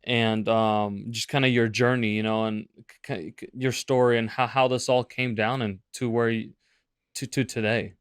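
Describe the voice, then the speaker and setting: A man's voice: medium pitch, normal speed, smooth, dry recording, clean studio sound. A young man hosting an interview, talking casually and animatedly. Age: young adult. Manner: animated, casual, uses "like" often. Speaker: podcast host. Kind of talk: interview chat.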